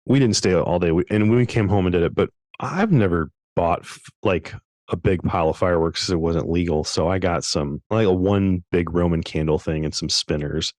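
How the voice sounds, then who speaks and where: Low-voiced man, chatty and stumbling. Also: moderate pace, dry recording. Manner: animated, stumbling restarts. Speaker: podcast co-host. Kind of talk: casual chat.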